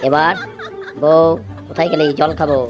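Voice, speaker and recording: A man's voice: fairly high pitch, flat delivery, moderate pace, music in the background, a crowd in the background, close to an everyday voice. A man voicing a boastful village husband in a comedy cartoon, swaggering and giving orders. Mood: gloating. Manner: swaggering, imperious. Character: boastful village husband lording over his wife. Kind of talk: Bengali comedy cartoon dialogue.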